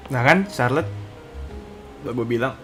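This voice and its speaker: A man's voice: mid-range voice, moderate pace, fairly bright tone. An Indonesian man streaming a game, reacting casually and thinking aloud in slang. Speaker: gaming streamer. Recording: game music under the voice.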